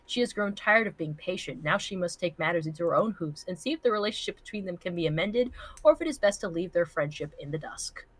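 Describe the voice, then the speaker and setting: A woman chatting casually and profanely on a livestream, joking in an animated way and reading out comments. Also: lowish voice, no room sound. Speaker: livestream co-host.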